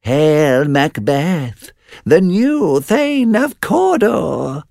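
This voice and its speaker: A man voicing three eerie witches who call out prophecies in high, drawn-out, distant voices. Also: big pitch swings, gravelly, darkish tone, dry recording, clean studio sound, exaggerated voice. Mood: eerie chant.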